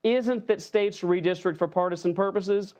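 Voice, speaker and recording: A man giving an indignant, forceful political comment on television. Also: sits high, fairly even intonation, normal speed. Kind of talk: TV interview comment.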